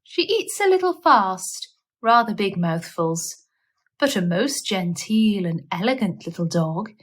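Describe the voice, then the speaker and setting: A woman narrating a children's storybook aloud in a measured, expressive read-aloud style. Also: fairly high pitch. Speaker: storyteller reading a children's book.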